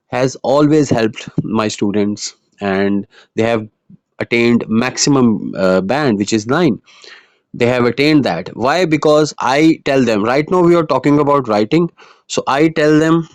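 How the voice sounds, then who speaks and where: A man's voice: medium pitch, darkish tone, dry recording. A man who speaks Urdu as his first language, teaching IELTS writing in an earnest, explanatory way, with frequent fillers and restarts. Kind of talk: online lesson monologue.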